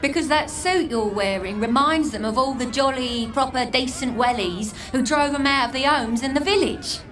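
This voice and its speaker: A woman's voice: high-pitched, moderate pace, fairly bright tone, a little hiss or hum. An actress playing a scornful, streetwise homeless woman in a video game, with an English accent and a blunt, mocking manner. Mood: blunt, wry. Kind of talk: video game character acting.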